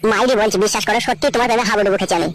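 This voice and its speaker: Woman playing a gushing, very fast lovesick suitor. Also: mid-range voice, fairly even intonation, heavy background noise, close to an everyday voice. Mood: gushing, pleading. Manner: gushing, melodramatic. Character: lovesick unhappily married village man, flattering suitor. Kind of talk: comic cartoon dialogue.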